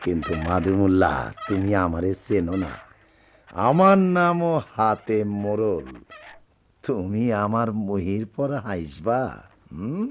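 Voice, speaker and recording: A man's voice: fairly low pitch, sing-song, slow, slight background noise. An actor playing a scheming, menacing village headman in a radio drama, barking orders in a rural Bangladeshi dialect. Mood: quietly menacing.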